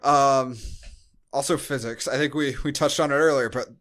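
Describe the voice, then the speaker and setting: A man's voice: mid-range voice, steady tone, moderate pace, fairly bright tone. A man co-hosting a podcast in casual film chat, with a sarcastic, mocking, sweary manner. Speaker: podcast co-host.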